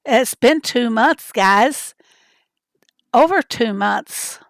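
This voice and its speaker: A woman hosting a casual livestream, chatty and rambling as she greets viewers from the chat, her voice raspy and hoarse from a summer cold. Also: on the high side, animated, extremely slow, a bit bright, no room sound. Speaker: livestream host.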